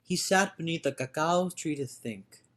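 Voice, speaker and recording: A man reading a storybook aloud as a dramatic, theatrical storyteller who moves between hushed and loud delivery. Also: fairly high pitch, moderate pace. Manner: theatrical, swinging from hushed to shouting. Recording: orchestral music behind the voice. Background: orchestral music.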